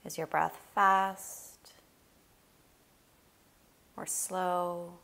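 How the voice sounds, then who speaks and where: A woman leading a guided body scan meditation, giving gentle, unhurried instructions with long pauses. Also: lowish voice, little pitch movement, vocal fry.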